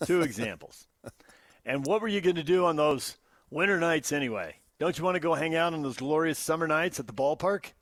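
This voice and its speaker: High-voiced American male sports radio host. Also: expressive, a little fast, a bit bright, no room sound. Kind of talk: sports talk radio banter, reading listener comments. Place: radio studio. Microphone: close studio microphone.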